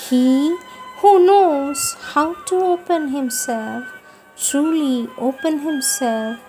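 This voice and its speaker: Female reader, devotional texts, unhurried and solemn with emphasis, soft music underneath. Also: on the high side, low-quality recording. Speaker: reader of spiritual writings. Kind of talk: devotional reading of spiritual texts. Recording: music playing behind the voice. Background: background music.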